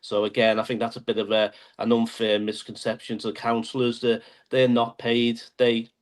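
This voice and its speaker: A man explaining things to a podcast in a measured way, often saying "obviously". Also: mid-range voice, completely flat delivery. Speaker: guest. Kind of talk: casual podcast chat.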